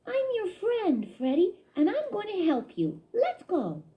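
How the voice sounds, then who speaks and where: Woman playing a little boy mouse, childlike, very high voice, swooping intonation, excitable, friendly, storybook character. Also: measured pace, dull audio, exaggerated voice. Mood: eager.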